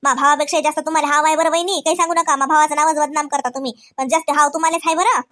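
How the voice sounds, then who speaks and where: A woman's voice: high voice, steady tone, very fast, pushed and bright. A woman voicing a teasing, supportive village woman in a comic cartoon, with a Varhadi Marathi accent and a familiar, folksy way of talking. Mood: encouraging, teasing.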